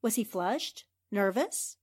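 A woman's voice: mid-range voice, lively intonation, slow, slightly rough. A woman playing a confident, probing newspaper reporter in a 1940s radio drama, incisive and assured.

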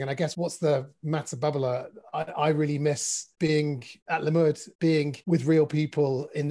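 A British man talks as an interview guest in a mellow, soft-edged voice at a middling pitch. He speaks casually and reflectively, often repeating words as he feels his way through a thought. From Manchester, England.

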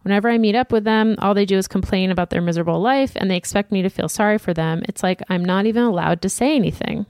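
A woman co-hosting a podcast, reading listener emails aloud in a steady, plain voice. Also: medium pitch, fast talker, few pauses, smooth, dry recording, studio quality. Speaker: podcast co-host.

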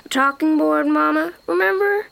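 A woman's voice: high-pitched, normal speed, vocal fry, fairly bright tone, slight background noise, exaggerated voice. A woman voicing Robert, an eager young boy with a Southern accent, in a radio drama.

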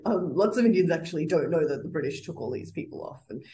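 Female podcast co-host, slightly low pitch, relaxed and reflective in conversation. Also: fast talker. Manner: attentive listener, frequent laughs, reflective. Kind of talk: casual chat.

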